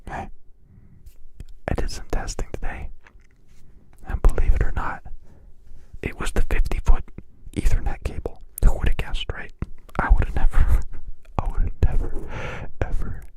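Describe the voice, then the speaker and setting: A man's voice: a little slow, pauses often, low-quality recording. Playful whispering man, Polish native speaker. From Canada. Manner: whispering throughout; playful, repeats words softly for effect. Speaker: ASMR live streamer. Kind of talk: whispered live-stream chat.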